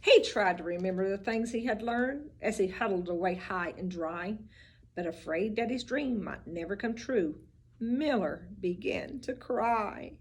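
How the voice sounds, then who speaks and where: Animated, breathy woman reading aloud. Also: mid-range voice, measured pace. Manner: expressive storybook reading with asides about the pictures. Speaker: teacher. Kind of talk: reading a picture book aloud.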